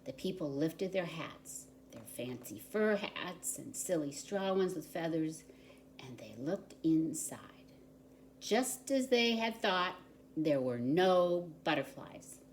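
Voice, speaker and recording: Female storybook reader for preschoolers: low register, very unhurried, expressive delivery, slight background noise. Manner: expressive, deliberate storyteller for young children. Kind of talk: children's picture book read-aloud.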